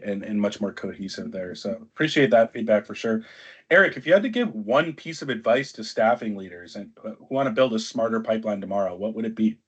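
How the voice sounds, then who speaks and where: A man moderating a business panel discussion as webinar host. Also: on the low side. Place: online webinar call. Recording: dull, band-limited online call audio.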